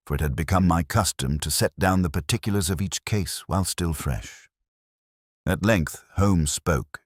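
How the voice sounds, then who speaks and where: A man narrating a classic detective story in a measured, scripted storybook style. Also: sits low, breathy edge, no room sound, studio quality. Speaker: audiobook narrator.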